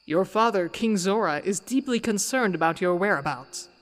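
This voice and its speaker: A dignified great owl, put on by a woman narrator, medium in pitch. The manner is courtly and formal, in a storybook reading with long reverb and music. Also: animated, lightly acted. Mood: courtly concern. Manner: formal, measured.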